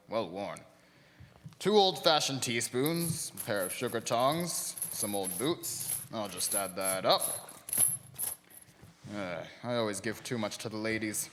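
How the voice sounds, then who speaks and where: Sharp, sly old pawn dealer. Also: male voice, on the low side. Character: sly, chuckling old dealer in stolen goods. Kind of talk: live radio theater acting. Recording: reverberant hall, faint noise.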